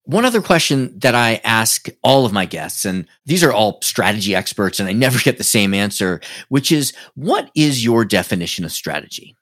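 A man's voice: on the low side, big pitch swings, normal speed, hardly any pauses, a bit bright, no echo. Guy hosting a business podcast, formal intro, super dry close mic. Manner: formal, reads a long guest introduction. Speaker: podcast host. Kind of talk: interview podcast.

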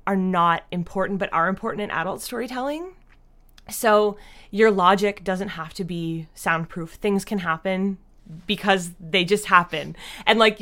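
A woman's voice: mid-range voice, pushed and bright. A woman talking casually as an interview guest, explaining things reflectively and often dropping in "like" as she goes. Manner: reflective, explanatory, frequent "like" as a verbal habit. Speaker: interview guest, audio drama writer.

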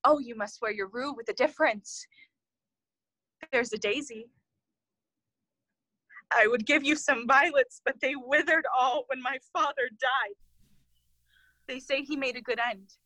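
A woman's voice: high-pitched, measured pace, pauses often, sharp tone, no room sound, heavily acted, low-quality recording. A woman playing a mad, grieving young noblewoman in a classical audio play. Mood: dazed, sorrowful. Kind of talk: classical stage acting for audio.

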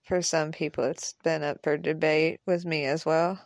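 Woman playing a self-deprecating neighbor lady, quiet, hesitant, wry, audio drama, lowish voice. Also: flat delivery, normal speed, dry recording, studio quality. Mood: quiet, touched. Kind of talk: naturalistic audio drama dialogue.

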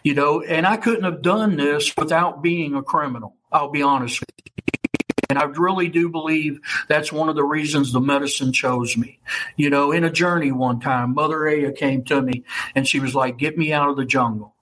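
A man in his fifties with a Kentucky accent, speaking as an interview guest in a forceful, slightly higher voice. He talks bluntly and swears freely. He keeps tagging his sentences with "okay?" and "you know.". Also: normal speed, poor audio. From United States. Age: 50s. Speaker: interview guest, ayahuasca ceremony leader. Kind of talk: casual storytelling interview.

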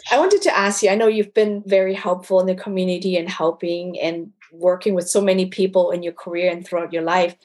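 A woman interviewing a guest on her podcast, asking a long question and affirming his answers. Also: medium pitch. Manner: warm, affirming short replies. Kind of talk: casual interview.

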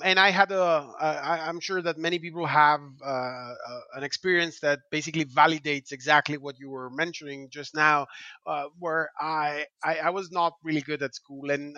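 A man from Greece with a Greek accent, hosting a podcast interview in a halting way with many restarts. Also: a little high, sharp tone, dry recording, highs cut off. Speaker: podcast host. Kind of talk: casual interview chat.

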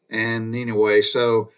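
A man's voice: fairly low pitch, smooth. A man from Georgia with a Southern accent, telling his own childhood stories in a folksy, meandering way, often restarting his sentences and wandering into asides. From Georgia, USA. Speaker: storyteller recounting his childhood. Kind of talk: casual spoken storytelling.

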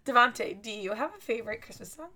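A young woman in casual chat, telling animated stories and laughing often. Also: a little high, quick pace, crowd noise. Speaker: podcast host.

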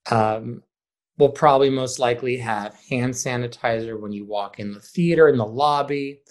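A man's voice: mid-range voice, darkish tone. Male theater director in casual interview talk. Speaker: high school theater director being interviewed.

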